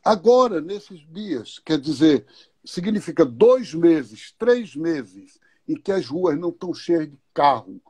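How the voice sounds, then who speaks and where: A man's voice: a little high, very animated, dark tone, breathy edge, no room sound. A man speaking as a guest in a live online talk, explaining his views thoughtfully and posing questions as he goes. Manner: unhurried, thoughtful, uses questions and examples.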